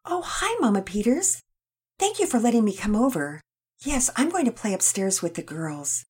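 A woman's voice: mid-range voice, very animated, moderate pace, a little mellow, breathy edge, voice pushed up. A woman voicing a friendly, polite young girl in an audio story. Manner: polite, eager.

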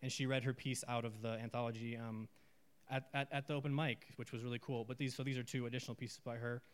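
A man who leads a writing workshop, introducing his students' pieces in a loose, halting way and reading them aloud slowly and deliberately. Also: medium pitch, little pitch movement. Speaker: writing workshop leader reading participants' work. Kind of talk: live reading to an audience.

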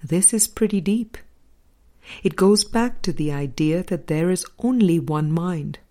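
A woman reading a spiritual book aloud as an audiobook narrator, in a measured, deliberate way with pauses between phrases. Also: fairly low pitch, warm tone. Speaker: audiobook reader.

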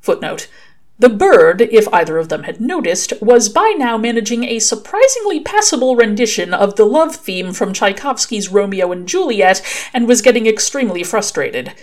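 A woman's voice: on the high side, very animated, moderate pace. A woman reading a scripted story as its author, with a wry manner. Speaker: author reading their own fan fiction.

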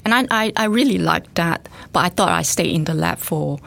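Gravelly low-voiced woman from Singapore. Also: animated, moderate pace, fairly bright tone. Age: middle-aged. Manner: thoughtful, many fillers and restarts. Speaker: guest scientist, facility director. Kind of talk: casual interview chat.

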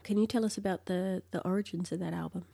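Low-voiced Australian woman co-hosting. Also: steady tone, quick pace, gravelly, dark tone, breathy edge, dry recording. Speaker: co-host. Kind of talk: casual interview chat.

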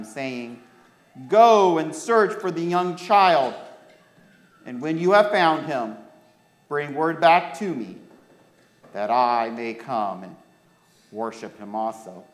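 An American man, a pastor, speaking deliberately to his congregation as if telling a storybook tale. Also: on the high side. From United States. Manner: deliberate, addressing listeners directly with questions. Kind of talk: storybook-like Bible reading to a congregation. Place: church during a worship service. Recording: echoey room.

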